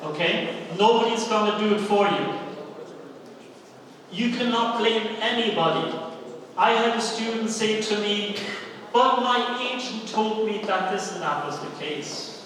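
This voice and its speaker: A man's voice: high voice, unhurried, noisy background. Echoey, distant German-born man giving a seminar. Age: middle-aged. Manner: rhetorical questions with frequent check-in tags like okay and right. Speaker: university lecturer and seminar speaker. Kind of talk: live seminar presentation to students. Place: large indoor hall, speaking to an audience. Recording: very echoey hall, distant microphone.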